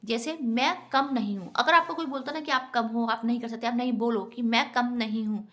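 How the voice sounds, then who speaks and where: A woman's voice: on the high side, a little fast, fairly bright tone. A woman giving an earnest, instructive motivational talk directly to her viewers. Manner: earnest, instructive, often addresses listeners as friends. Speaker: self-help vlogger.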